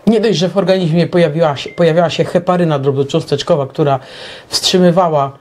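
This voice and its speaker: A middle-aged man co-hosting a talk show, explaining his opinions in a halting monologue. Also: a little high, steady tone, a little mellow.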